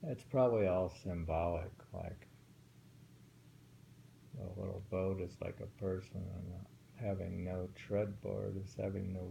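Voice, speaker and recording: A middle-aged man reads old poems aloud, then muses over them in a relaxed, thinking-aloud way. His voice is low and mellow, with a gravelly edge. He takes his time, often pausing to reread a line. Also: a little hiss or hum, low-quality recording. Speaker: poetry reader. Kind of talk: reading poems aloud with casual commentary.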